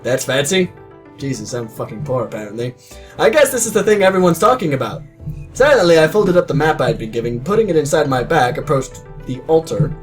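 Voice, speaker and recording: A man in his twenties reads a story aloud with a slightly high, animated voice. He keeps undercutting the narration with sarcastic, crude asides. Music plays underneath. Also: fairly quick. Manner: sarcastic, crude, self-mocking. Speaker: reader of a comedic readalong.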